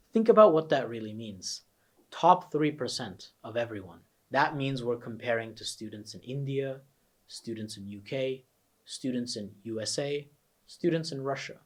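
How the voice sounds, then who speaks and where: A man's voice: medium pitch, darkish tone. A young man with an American accent, a test prep coach persuasively walking students through his course. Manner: earnest, persuasive, emphatic. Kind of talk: promotional course walkthrough.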